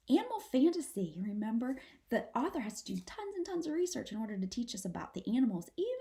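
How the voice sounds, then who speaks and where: A woman's voice: mid-range voice, a little mellow. A woman teacher reading a children's story aloud, adding simple explanations and speaking directly to her young listeners.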